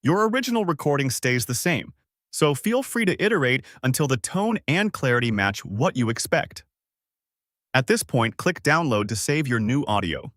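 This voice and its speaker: A man reads scripted tutorial narration with animated, varied intonation at a middling pitch. He explains each step in an orderly, instructional manner. The sound is close and very dry. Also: normal speed, dry recording.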